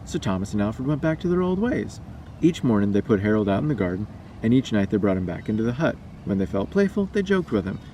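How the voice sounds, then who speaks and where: A man's voice: lowish voice, big pitch swings, fast talker, warm tone. A man reading a scary story aloud as a storyteller. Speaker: storyteller and vlogger. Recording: distant mic, noisy background.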